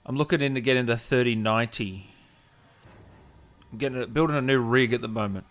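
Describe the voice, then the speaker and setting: A man's voice: mid-range voice, brisk, fairly bright tone. A man hosting a gaming livestream, chatting casually and appreciatively with his guest and viewers. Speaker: host of a gaming interview livestream.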